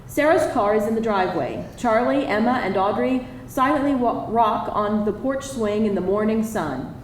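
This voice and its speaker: A woman's voice: a little high, animated, moderate pace. A woman narrator reading screenplay stage directions aloud at a live table read. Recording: echoey live hall, distant, faint noise.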